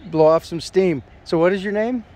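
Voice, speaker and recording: A man's voice: high voice, normal speed, darkish tone. A man holding his phone while filming outdoors in a park, chatting casually and asking short curious questions. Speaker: vlogger. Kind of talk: casual vlog narration and interview. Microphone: phone camera he holds.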